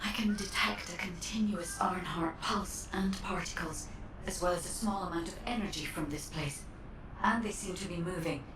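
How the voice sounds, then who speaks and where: A woman's voice: lowish voice, natural voice. An earnest female machine intelligence from a video game, voiced by a woman speaks in careful, formal sentences. The sound is roomy and distant, with some faint noise behind it. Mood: earnest, composed. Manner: formal, measured, precise diction. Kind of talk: scripted video game dialogue.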